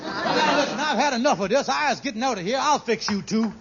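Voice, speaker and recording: An actor playing a scheming, put-upon husband in a 1940s radio sitcom, with folksy dialect and exasperated bluster. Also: male voice, very high voice, lively intonation, fast talker, over a noisy crowd. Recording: old muffled radio recording, noisy studio audience.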